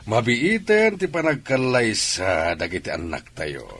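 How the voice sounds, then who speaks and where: A man's voice: mid-range voice, huge pitch swings, unhurried, a bit bright, slight background noise. A man with a Filipino accent playing an older father reminiscing in a radio drama, in a storytelling manner. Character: older father reminiscing about his old friend.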